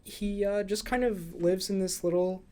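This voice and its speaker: A man's voice: sits high, fairly even intonation, normal speed, a little mellow. A young man hosting a casual movie review podcast, chatting with dry, flippant humour. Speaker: podcast host.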